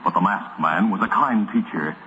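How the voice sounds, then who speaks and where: A man's voice: low-pitched, normal speed, pushed and bright, a little hiss or hum. An American man narrating an old radio western in a dramatic, solemn 1940s storytelling style. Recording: muffled vintage radio recording.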